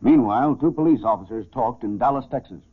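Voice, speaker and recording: A formal police chief recounting a case in 1940s radio narration. His mid-pitched voice comes through thin, tinny vintage broadcast sound. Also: animated, quick pace, breathy edge. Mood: measured. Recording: tinny, band-limited 1940s radio recording.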